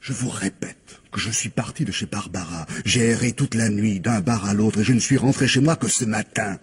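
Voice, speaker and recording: An actor playing a cornered, defeated murder suspect in a French radio crime play, weary, halting and defensive as he protests his innocence. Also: on the low side, slightly rough.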